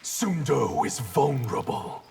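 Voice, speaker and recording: An actor playing a cold, defiant ninja clan leader in a video game, haughty and menacing. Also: male voice, medium pitch, very animated, a little slow, gravelly, darkish tone, breathy edge, soft background music, heavily acted. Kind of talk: video game character dialogue.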